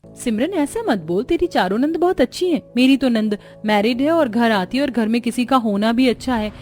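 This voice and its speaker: A woman voicing a kindly young married friend in a cartoon story, gently admonishing as she talks. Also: fairly high pitch, expressive, normal speed, natural voice. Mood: concerned. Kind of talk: Hindi cartoon story dialogue. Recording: music behind the voice.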